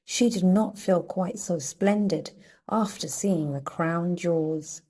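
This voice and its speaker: A woman narrates a children's storybook in a playful way. Her voice sits a little low, with a soft, husky edge, and she takes her time over each phrase. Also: takes it slowly. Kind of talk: read-aloud storybook narration.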